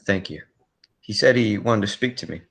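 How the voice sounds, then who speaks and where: A man's voice: fairly low pitch, moderate pace, gravelly, fairly bright tone, breathy edge, exaggerated voice. A man playing a solemn, polite, slightly hesitant off-duty circus clown in a 1940s radio play.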